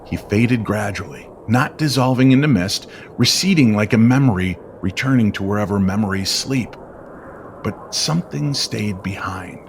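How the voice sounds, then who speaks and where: A man narrating an eerie story in old-time radio style, with dramatic, deliberate, suspenseful phrasing. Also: lowish voice, a little hiss or hum.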